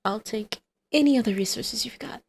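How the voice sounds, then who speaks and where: Woman playing a creaky-voiced, teasing, flirty villainess. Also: fairly high pitch, normal speed. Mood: playful, mischievous. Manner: intimate, teasing, often dropping to a breathy whisper. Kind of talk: ASMR roleplay.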